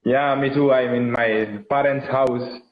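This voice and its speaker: Young Italian male host, unhurried, hesitant delivery, muffled video-call sound. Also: mid-range voice, steady tone. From Italy. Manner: hesitant, friendly, halting phrasing with many fillers. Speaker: interviewer, bassoonist. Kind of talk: live-streamed interview. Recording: dull lo-fi video call audio.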